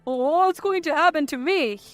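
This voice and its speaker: A woman's voice: sits high, a little slow, no echo, faint music behind. A woman voicing a bewildered, indignant husband in a narrated family story, exclaiming and questioning. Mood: shocked, protesting.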